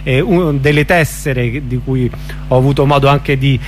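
A man's voice: medium pitch, normal speed. A man hosting a radio interview, asking short prompting questions with some fillers. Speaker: radio host. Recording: radio broadcast with faint hum.

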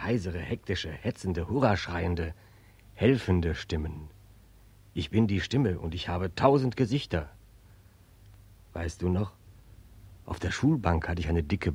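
A deep raspy inner voice, mysterious, insistent, almost chanting, forties radio drama. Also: male voice, a little mellow, no room sound, full character voice. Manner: incantatory, deliberate, probing. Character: mysterious, persistent male inner voice, insistent yes-sayer. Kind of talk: 1940s radio drama acting.

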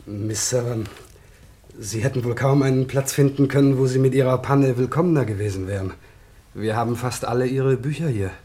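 An actor playing a genial, courteous country husband with a wry, slightly hesitant manner in a German radio play. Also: male voice, medium pitch, normal speed, warm tone, close to an everyday voice. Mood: friendly.

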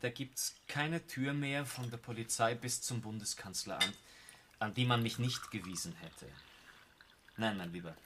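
An actor playing an embittered, proud war veteran in a radio play, with an Austrian accent and an emphatic, insistent delivery. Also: male voice, mid-range voice, a little slow, close to an everyday voice. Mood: bitter, defiant. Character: embittered, proud war veteran turned building-site supervisor. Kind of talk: German radio play acting. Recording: noisy old radio recording.

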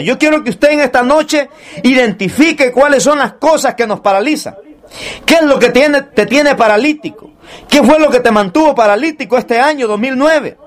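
A man preaching an evangelical sermon, exhorting his listeners directly and putting rhetorical questions to them. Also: high voice.

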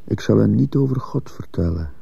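An actor playing a brooding, guilt-ridden man in a radio drama, speaking gravely and deliberately with a gravelly, husky voice. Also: lowish voice, expressive, mellow, no room sound, heavily acted. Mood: grave, remorseful. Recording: dull lo-fi radio recording.

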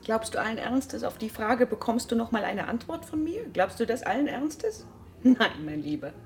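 A woman's voice: on the high side, sing-song, normal speed. An actress playing a scornful, bitter wife and mother in a German radio drama, speaking in a cutting, accusing way. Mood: cold, resolute. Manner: accusing, cutting, emphatic repetition.

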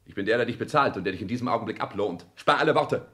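A man's voice: on the low side, animated, rapid-fire, sharp tone. An actor in a 1960s German radio drama playing an arrogant, ambitious self-made man with a declamatory, commanding delivery. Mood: cold, imperious. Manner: declamatory, haughty, clipped commands. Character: arrogant, ambitious self-made rich son, cold and imperious.

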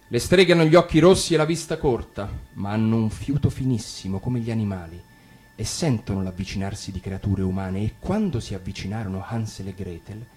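A man reads a fairy tale for radio with a lively, varied delivery, sinking low and hushed for the dark passages. Gentle plucked guitar plays under his voice throughout. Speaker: narrator. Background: guitar music.

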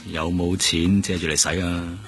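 Deep-voiced, world-weary Hong Kong detective. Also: male voice, little pitch movement, a little slow, a bit bright. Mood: wry, resigned. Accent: Hong Kong Cantonese. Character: seasoned male police detective, world-weary, wry, protective mentor. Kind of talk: Cantonese radio drama acting. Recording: faint music bed underneath. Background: faint music.

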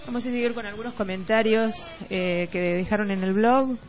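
A woman's voice: medium pitch, moderate pace. A woman with a Rioplatense Argentine accent co-hosting a casual literary radio chat, at times reading out comments. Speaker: radio co-host. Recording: slightly dull radio audio with music behind. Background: music.

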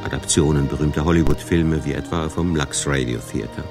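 A man's voice: rumbling low, fairly quick, over music. A man narrating a scripted radio documentary as its main narrator.

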